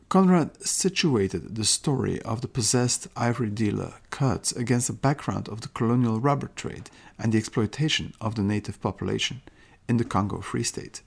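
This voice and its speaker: A man giving a lecture-style podcast talk, explaining at a measured pace with occasional restarts and quoted passages. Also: on the low side, vocal fry, husky.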